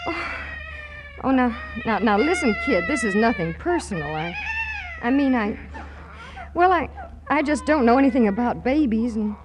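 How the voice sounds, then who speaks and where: A woman's voice: fairly high pitch, huge pitch swings, normal speed, long pauses. A woman playing a flustered, indignant young working woman in a 1940s radio comedy, with stammering, emphatic protests. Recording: noisy 1940s radio broadcast recording.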